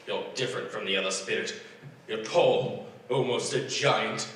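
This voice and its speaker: A man's voice: fairly low pitch, moderate pace, a bit bright, slight background noise, natural voice. A man playing stammering old miser Scrooge. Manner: hesitant, stammering. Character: old miserly male Scrooge, humbled and wary. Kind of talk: 1940s radio acting. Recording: echoey stage from far off.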